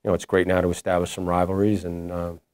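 A man in his forties, a head basketball coach, answering postgame questions in a halting way with many restarts. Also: sits low, level tone, darkish tone, clear, resonant tone, no room sound, studio quality. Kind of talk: postgame press conference answers. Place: indoor press room before a logo backdrop. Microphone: lapel mic.

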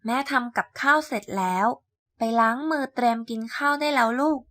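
A woman playing a caring, gently firm mother duck in a children's storybook, coaxing and motherly in manner. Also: on the high side, a little fast. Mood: welcoming.

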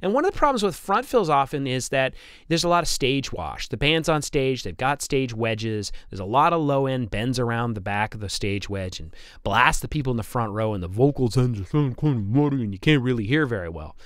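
A man's voice: mid-range voice, expressive, fairly quick, a bit bright, dry recording, clean studio sound. A man teaching as an instructor, explaining technical material in an informal, conversational lecture with occasional stammered repeats. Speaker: instructor, audio engineer. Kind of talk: informal lecture over slides.

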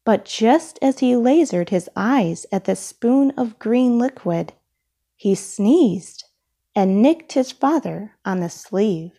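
A woman reads a children's picture book aloud with expressive care. Her pace is very unhurried, and her voice is soft and mellow with some fry in it. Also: on the high side. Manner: deliberate, expressive storytelling. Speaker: storybook reader.

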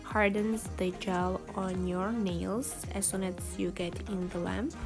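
A woman's voice: mid-range voice, steady tone, slow. Chatty woman over background music. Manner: chatty, self-deprecating. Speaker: beauty vlogger. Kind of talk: casual tutorial voice-over.

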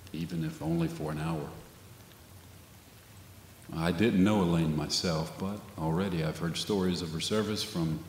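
A man playing a gentle, solemn memorial officiant in an audio drama, speaking in a measured, reflective way. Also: a bass voice. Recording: echoey hall, loud rain noise.

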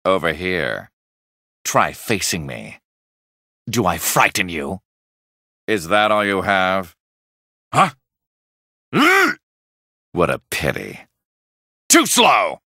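An arrogant, godlike male villain from a fighting game. His voice is very sharp and forceful, with a creaky edge. He delivers clipped, menacing, condescending lines extremely slowly. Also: deep voice, wildly swooping pitch, no echo, clean studio sound, heavily acted. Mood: aggressive, shouting. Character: arrogant, cold male supervillain with god complex.

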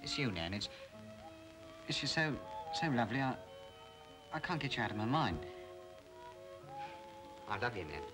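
An actor playing an earnest, lovesick and jealous young English suitor pleading in a 1940s British film. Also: male voice, mid-range voice, very animated, normal speed, long pauses, lightly acted, poor audio. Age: young adult. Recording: old 1940s film soundtrack with music.

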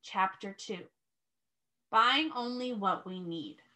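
A woman teacher reading aloud to young children, expressive and instructive, pausing to put questions to them. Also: medium pitch. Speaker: first grade teacher.

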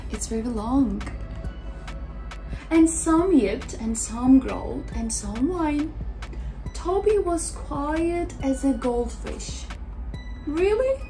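High-pitched young woman reading slowly to children. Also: animated, warm tone, faint music behind, rough recording. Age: young adult. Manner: exaggerated, simple short phrases, reacting to the pictures. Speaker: children's story reader. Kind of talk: expressive picture-book read-aloud with asides to children.

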